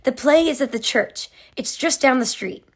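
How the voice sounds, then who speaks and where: A female voice reads storybook dialogue as a young girl character. The delivery is earnest and animated, and the pitch sits a little high. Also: normal speed. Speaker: co-reader of a picture book, voicing a child's lines.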